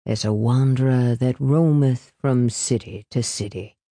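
A woman voicing a smooth, pious, grandiloquent man in a storybook reading, with a slow and pompous manner. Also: low voice, lively intonation, warm tone, dry recording. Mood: solemn. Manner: slow, pompous, scripture-quoting.

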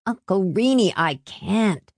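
Casting: woman as burdened, hot-tempered teenage boy, forceful and urgent, dry studio sound, audiobook. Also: on the low side, expressive, slow, dry recording. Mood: pleading, threatening. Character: angry, desperate teenage son burdened by drunken father.

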